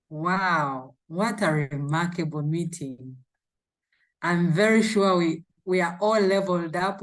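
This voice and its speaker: A woman addressing a club meeting as its president, in a deliberate, appreciative way. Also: mid-range voice, poor audio.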